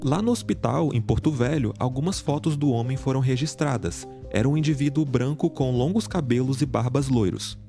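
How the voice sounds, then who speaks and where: A man giving a scripted, measured true-crime narration. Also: medium pitch, gravelly, dark tone. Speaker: narrator of the reacted video. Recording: music bed under narration. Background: background music.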